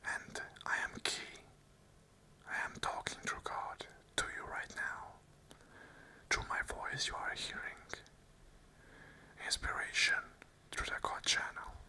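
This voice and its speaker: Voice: takes it slowly, pauses often. An ASMR host whispering an unscripted monologue of earnest, repeated affirmations in short phrases. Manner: whispers the whole way, soft and unvoiced.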